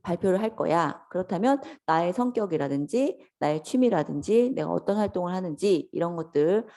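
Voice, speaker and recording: A woman lecturer speaks in a slightly low, mellow voice that is hoarse from a lingering cold. She explains step by step in a conversational, instructional way, addressing her students politely and directly. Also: lively intonation, a little fast. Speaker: lecturer teaching an office software course.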